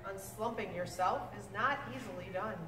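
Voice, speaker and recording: A woman reading a children's book aloud to a class, with expressive, deliberate storybook phrasing. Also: medium pitch. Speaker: teacher reading aloud to sixth grade students. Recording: echoey hall, distant mic, faint noise.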